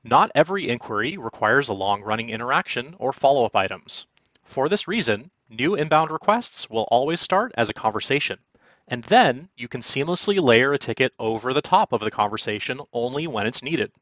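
A man presenting a software walkthrough as polished, instructional scripted narration. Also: mid-range voice, expressive, a little fast, no echo, dull audio. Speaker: product demo presenter. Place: quiet, dry room, recorded as voice-over.

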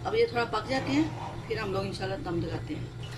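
A woman hosting a home cooking tutorial in her kitchen, talking through each step slowly with frequent hesitations. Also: medium pitch, animated, noisy background. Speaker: cooking channel host.

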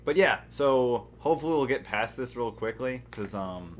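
A man's voice: medium pitch, normal speed, a little hiss or hum. A young man co-hosting a casual gaming chat, easygoing with short replies. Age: young adult. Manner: easygoing, short replies, gets excited during fights. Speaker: gaming co-host. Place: living room in front of a TV.

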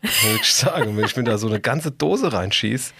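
A man's voice: mid-range voice, big pitch swings, normal speed, creaky, noisy background. A man co-hosting a podcast in casual chat, reacting and asking questions in loose, slangy everyday speech. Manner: colloquial, slangy. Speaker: podcast co-host.

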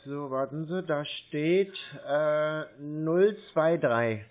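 A man from Germany stringing along a scam caller, slow and feigning confusion as he fumbles for details. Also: a little high. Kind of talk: playing dumb on a prank call. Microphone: phone. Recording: tinny phone line.

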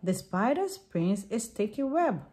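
A woman reading a picture book aloud for young children in deliberate, simple sentences. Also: mid-range voice, big pitch swings, mellow.

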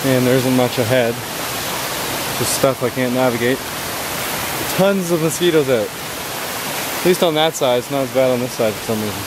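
A man's voice: mid-range voice, very noisy. A young man talking casually to his handheld camera as he hikes through a wooded ravine to a waterfall. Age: young adult. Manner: relaxed, musing to camera while walking. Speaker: vlogger. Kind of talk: casual hiking vlog. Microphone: handheld camera held close. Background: rushing water.

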